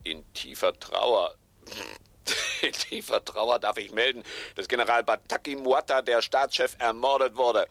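Sly, gloating radio-play wheeler-dealer, forceful bright tone, mock-solemn and coarse. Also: male voice, medium pitch, measured pace, a little hiss or hum. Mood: gleeful mock grief. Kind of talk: 1970s German radio drama acting.

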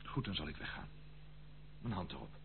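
An actor playing a charming, spendthrift prodigal son in an old Dutch radio play, pleading in a theatrical, hesitant way. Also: male voice, a bass voice, big pitch swings, brisk, gravelly, full character voice. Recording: muffled phone-like old radio recording, hum.

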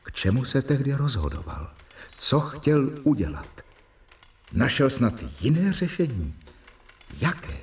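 A man narrating a radio drama in a reflective, measured way. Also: medium pitch, very animated, recorded from a distance. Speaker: narrator reading diary.

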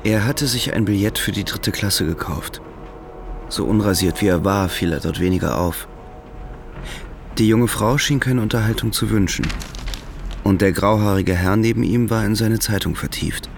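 Low, creaky male radio narrator. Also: normal speed, slight background noise. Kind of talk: scripted literary narration for radio drama. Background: faint music.

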